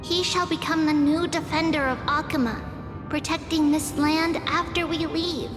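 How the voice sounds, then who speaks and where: Game role: actress as tiny demigod maiden, extremely high girlish voice, earnest and determined, reverberant. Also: fairly even intonation, normal speed, close to an everyday voice. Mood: earnest, resolute. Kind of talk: dramatic video game voice acting. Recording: echoey long reverb under music.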